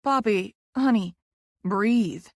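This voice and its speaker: A woman voicing a calm, motherly office colleague in an audiobook, soothing and familiar. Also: medium pitch, slow, no room sound, studio quality. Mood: reassuring. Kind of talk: audiobook character dialogue.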